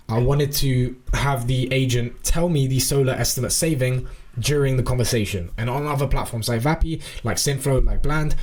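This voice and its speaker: A man giving an informal, explanatory software walkthrough, thinking aloud as he presents. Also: mid-range voice. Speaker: tutorial presenter.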